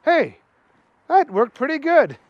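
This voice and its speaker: A high, whiny voice of a clumsy, dim-witted young fry cook, bewildered by everything, as a man puts him on for a storybook. He drags his words out slowly, and the sound is close and dry. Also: sing-song, no echo, lo-fi sound, exaggerated voice.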